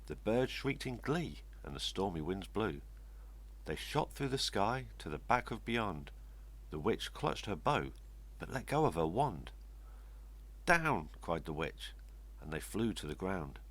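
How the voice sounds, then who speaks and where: An older man with a gravelly, husky voice reading a rhyming children's story expressively. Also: sits low, big pitch swings, measured pace. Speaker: story reader. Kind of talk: expressive read-aloud children's storytelling in rhyme.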